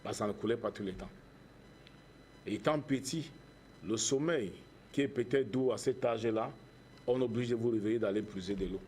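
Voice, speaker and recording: A man with a Cameroonian accent giving earnest interview answers as a charity founder, in measured, preacher-like phrases with repetition. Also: on the low side, a little mellow, a little hiss or hum.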